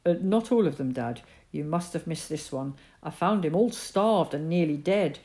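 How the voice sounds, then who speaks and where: Woman reader voicing an eager farm lad of fourteen, pleading, lively pitch. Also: moderate pace. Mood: earnest. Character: earnest fourteen-year-old farm boy, pleading.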